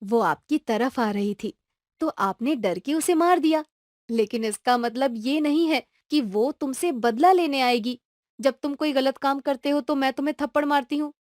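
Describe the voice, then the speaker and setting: A caring, firm cartoon mother, voiced by a woman. Her voice is high and sounds dry and close, and she explains things patiently and warmly to her child. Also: normal speed, no room sound, natural voice. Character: caring young mother, firm but loving.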